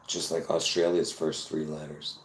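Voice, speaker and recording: A man from Lebanon hosting his own video, talking excitedly to viewers in a rambling, repetitive way with bursts of laughter. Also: a bass voice, moderate pace, echoey room, slight background noise, poor audio. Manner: excitable, repeats himself, laughs between points. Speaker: video host. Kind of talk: rambling casual monologue to viewers.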